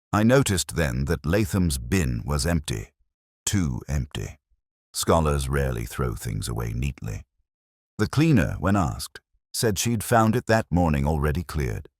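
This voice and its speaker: A man reading a scripted first-person detective story as narrator, in a measured, melancholy, literary manner. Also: extremely low pitch, no echo, clean studio sound.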